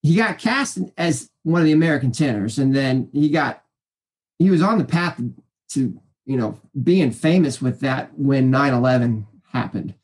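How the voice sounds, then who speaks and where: A man in his forties with a naturally high voice, rambling cheerfully through stories as an interview guest, full of fillers and restarts. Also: lively intonation, normal speed, a little mellow, breathy edge. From Kansas City area, US. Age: 40s. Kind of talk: casual interview storytelling.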